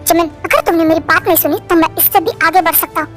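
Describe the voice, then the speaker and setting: An earnest lovestruck man, a boss pouring out heartfelt pleas in a storybook drama, as a high-voiced narrator lowers her tone for him. The voice stays bright despite the dip, with soft music playing behind. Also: fairly even intonation, fast. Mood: firm. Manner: pleading, heartfelt declarations.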